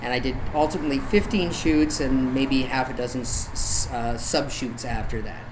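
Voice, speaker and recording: A man's voice: medium pitch, fairly even intonation, moderate pace. A man talking casually as a guest photographer, telling anecdotes in an informal, conversational way. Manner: informal, conversational, peppered with tag questions like "right?". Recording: clear background noise, room ambience.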